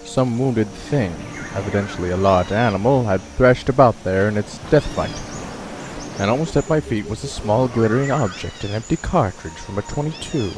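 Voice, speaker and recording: An actor playing a shipwrecked big-game hunter in a radio drama, telling his story in a dramatic, measured way. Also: fairly low pitch, background noise, lightly acted. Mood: tense, weary. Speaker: narrator and lead actor. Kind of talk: old-time radio drama, first-person narration.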